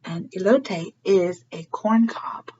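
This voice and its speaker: A woman's voice: on the low side, slow pace, darkish tone, breathy edge, muffled recording. A woman hosting a storybook read-aloud for young children, asking questions and explaining as she goes. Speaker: early learning read-aloud host.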